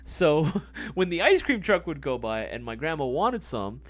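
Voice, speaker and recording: A man's voice: fairly high pitch, very animated, unhurried. A man hosting a live stream in casual chat, reading viewer comments, rambling with many fillers and casual swearing.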